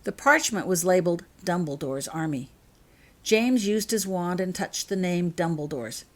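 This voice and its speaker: A woman's voice: lowish voice, expressive, moderate pace. A woman reading her own scripted fiction aloud as narrator.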